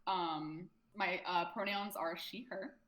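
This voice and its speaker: A woman's voice: lowish voice, steady tone, slow pace, a bit bright, poor audio. A twenty-year-old woman, a podcast guest, chatting eagerly and casually with many filler words. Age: 20s. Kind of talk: casual chat.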